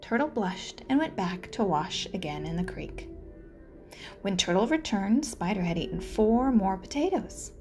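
A woman's voice: mid-range voice, animated, measured pace, darkish tone, faint music behind. A woman reading a children's story aloud as a learning coach, introducing and narrating it.

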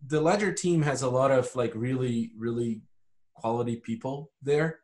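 A man's voice: mid-range voice, moderate pace, a little mellow. A man from Brazil giving casual, matter-of-fact explanations as a podcast guest. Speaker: podcast guest, hardware company founder.

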